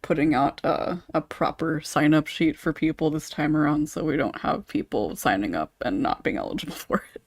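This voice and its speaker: A man's voice: fairly high pitch, moderate pace, no echo. Male podcast host with a dry, close sound. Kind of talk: casual chat.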